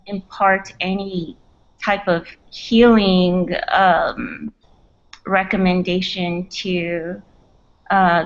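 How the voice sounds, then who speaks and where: A woman asking questions as a session participant in a casual, halting and tentative way. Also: mid-range voice. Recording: muffled lo-fi call audio.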